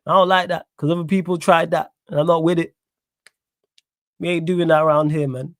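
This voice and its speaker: A man's voice: fairly high pitch, fairly even intonation, fairly quick, dry recording, clean studio sound. Indignant Mancunian man streaming live. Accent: Manchester. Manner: indignant, repeats phrases, reads chat aloud. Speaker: livestream host. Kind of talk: live stream chat reaction.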